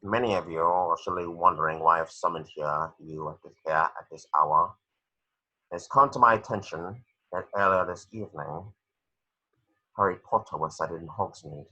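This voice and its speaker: A man playing a cold, menacing, formal wizard headmaster in a screenplay table read, with raspy, deliberate speech. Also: low-pitched, bright, forceful tone, lo-fi sound, exaggerated voice.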